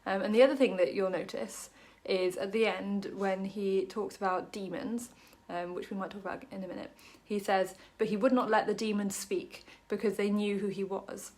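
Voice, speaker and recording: A woman's voice: mid-range voice, fairly even intonation, moderate pace, hardly any pauses, darkish tone. A woman from Wales giving a casual, chatty Bible study talk to camera, full of ums and light jokes about herself. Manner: chatty, many ums, self-deprecating jokes.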